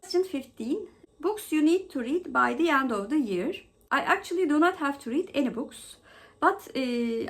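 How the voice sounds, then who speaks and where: A woman presenting a book vlog as host, going through questions in a halting way with frequent mid-phrase pauses. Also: sits high, a bit bright. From Turkey.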